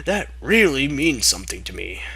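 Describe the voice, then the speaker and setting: A man's voice: medium pitch, sing-song, moderate pace, gravelly, sharp tone, slightly rough, no room sound, heavily acted. A man voicing a cocky young female pegasus pony in an amateur fanfic reading, with a brash, boastful manner. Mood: hesitant.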